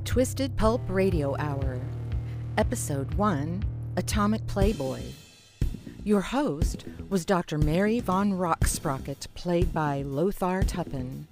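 Woman announcer, lowish voice, unhurried scripted credits, drum-driven music behind. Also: expressive. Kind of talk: scripted radio drama credits. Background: music with drums.

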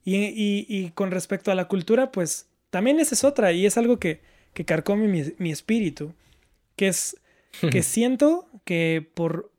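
A man's voice: high voice, animated, a little slow, a little mellow. A man chatting casually and reflectively, repeating words as he searches for the right phrasing. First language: Spanish. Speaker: panelist, learner of Japanese.

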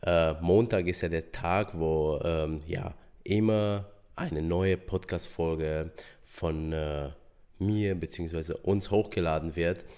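Deep-voiced hoarse man hosting a podcast. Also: fairly even intonation, slow, darkish tone. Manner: hesitant, many filler sounds. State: hoarse from a cold. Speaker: podcast host. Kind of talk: casual solo podcast talk.

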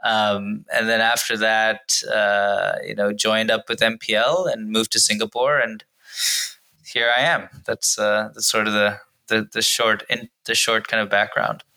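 Guy in his 30s, bright forceful voice, laid-back, lots of ums and restarts. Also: lowish voice, a little slow, vocal fry. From India, raised in Indonesia. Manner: relaxed, laughs easily, many fillers and restarts. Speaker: guest, gaming executive. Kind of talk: casual interview answers.

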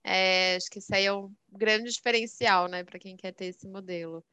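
Podcast hostess, smooth clear voice, dry close sound, friendly curious questions. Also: female voice, medium pitch, fairly even intonation, moderate pace, bright, forceful tone, no room sound. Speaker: podcast host. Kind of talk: podcast interview.

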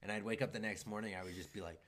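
Low-voiced young man, loose and profane. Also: steady tone, very fast, fairly bright tone, slight background noise. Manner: loose, profane, rambling storyteller. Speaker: comedian podcast co-host. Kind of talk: casual chat.

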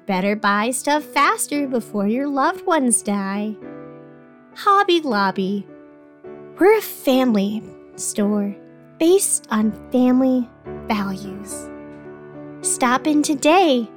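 A woman playing a cheerfully menacing announcer in a parody radio commercial, with deadpan, blunt sales patter. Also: fairly high pitch, slow pace, long pauses, soft background music. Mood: cheerful menace. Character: cheerfully menacing female craft store advertisement announcer.

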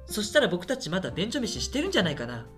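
Radio drama role for a man: timid, mild male student, deadpan, light voice with animated intonation, guitar music behind. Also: sits high, rapid-fire, exaggerated voice. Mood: musing. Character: shy lonely male university student, soft-spoken, quietly scheming. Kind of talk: radio drama dialogue and first-person narration.

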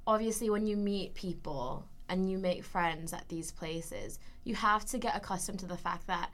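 Lively young woman with an American accent. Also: mid-range voice. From Philippines. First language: Tagalog. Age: young adult. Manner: lively, laughs often, quick playful quips. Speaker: podcast guest. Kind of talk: casual chat.

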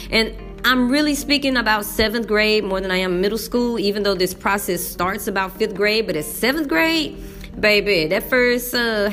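A woman's voice: medium pitch, fairly bright tone. A Texan woman teacher talking casually about middle school, folksy and emphatic, slipping into the voices she uses in class. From Texas. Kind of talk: casual talk to camera.

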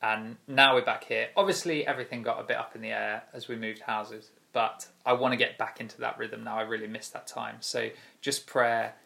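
Gravelly-voiced man leading a prayer chat. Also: mid-range voice, fairly quick, few pauses. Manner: relaxed, many ums, playful banter. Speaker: youth church leader. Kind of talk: casual devotional chat.

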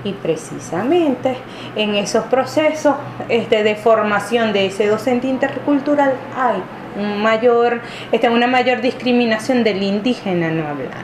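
A woman's voice: a little high, expressive, measured pace. A woman from Venezuela answering interview questions thoughtfully, hesitating often, with many fillers and restarts. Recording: echoey room with clear background noise.

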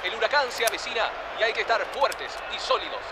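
A man's voice: very high voice, lively intonation, fast. A man playing a solemn sports commentator in an audio drama. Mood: urgent, solemn. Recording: very noisy outdoor-like ambience.

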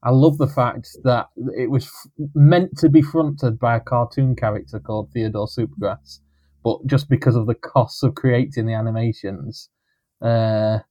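A man's voice: medium pitch, steady tone, moderate pace, dark tone, low-quality recording. Male host, thirties, chatty and jokey, remote podcast. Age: 30s. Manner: jokey, laughs often, rambling. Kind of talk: casual chat. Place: home, recording remotely.

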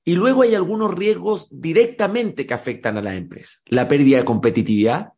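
Man explaining analysis, measured. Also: fairly high pitch, darkish tone. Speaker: political risk analyst. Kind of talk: expert interview answers.

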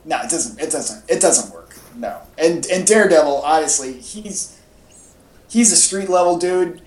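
A man's voice: a little high, expressive, normal speed, darkish tone. Man chatting casually, echoey recording. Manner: enthusiastic fan talk with casual slang. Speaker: podcast host. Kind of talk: casual pop-culture podcast chat. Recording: echoey, roomy home podcast audio.

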